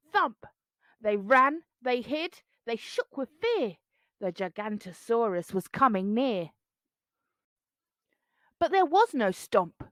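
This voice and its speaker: A woman's voice: sits high, a bit bright, no echo. A woman reading a children's picture book aloud in a dramatic, rhythmic, singsong way. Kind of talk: expressive storybook read-aloud.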